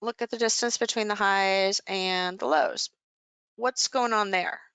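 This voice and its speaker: A woman's voice: mid-range voice, a little slow, a bit bright, clean tone, no echo. A woman coaching a live session, explaining things informally and conversationally as she thinks aloud over charts.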